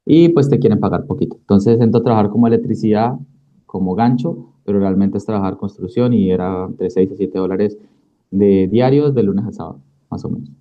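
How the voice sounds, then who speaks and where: A man's voice: on the low side, brisk, dull audio. A man in his twenties with a Colombian accent, telling his own story conversationally as an interview guest. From Cali, Colombia. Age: 20s. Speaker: interview guest, digital marketing entrepreneur.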